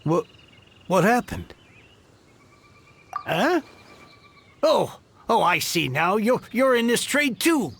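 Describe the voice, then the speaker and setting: A man's voice: high voice, big pitch swings, slow, gravelly, long pauses, fairly bright tone, a little hiss or hum, full character voice. A man voicing an anxious merchant who stammers and frets in a game. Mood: wary. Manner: nervous, stammers, exclaims. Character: anxious middle-aged male merchant, frazzled and stammering. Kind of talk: game dialogue.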